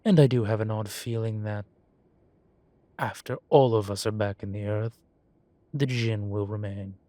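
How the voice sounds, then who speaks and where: A man voicing an urbane demon professor in an audio roleplay, erudite and wryly amused, speaking in a measured, formal way with deliberate pauses. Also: lowish voice, steady tone, mellow, dry recording, close to an everyday voice. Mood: thoughtful, confiding. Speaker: professor lecturing a class. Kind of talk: scripted audio roleplay, lecture and conversation.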